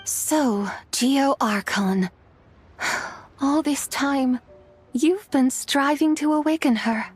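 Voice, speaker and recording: A woman's voice: high voice, slow pace, soft background music. A woman playing a regal, curious immortal visitor in a video game, speaking in a formal, archaic and stately manner. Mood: composed.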